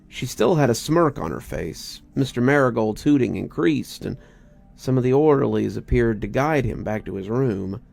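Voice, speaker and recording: A man's voice: medium pitch, lively intonation, moderate pace, gravelly, darkish tone. A man narrating a scripted story in a dramatic reading style. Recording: music bed under narration.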